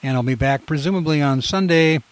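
A man's voice: fairly high pitch, a little fast. A man hosting a community radio show, talking casually and rambling with restarts. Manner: rambling, many fillers and restarts.

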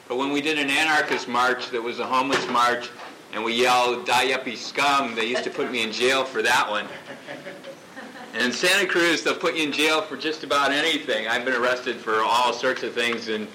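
A man giving an impassioned speech at a public gathering, loud and declaiming, talking without a microphone. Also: mid-range voice, slight background noise, poor audio. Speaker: activist speaker at a rally. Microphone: off-mic, picked up from a distance.

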